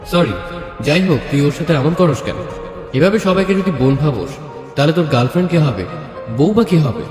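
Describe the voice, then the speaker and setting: A man acts a young male student sidekick with a fairly high voice, blunt and nosy. It is an audio story with long reverb and music behind. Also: expressive, fairly quick, a little mellow, lightly acted. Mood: incredulous. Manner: colloquial, nosy. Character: curious, blunt, teasing young male college friend. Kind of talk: Bengali audio story acting.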